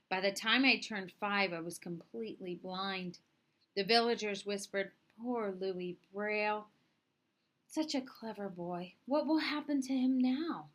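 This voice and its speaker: A woman narrates a children's story in a bright, forward voice at a middling pitch. Her delivery is expressive and deliberate, shaping each phrase dramatically as a read-aloud storyteller would. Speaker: storyteller reading a picture book aloud.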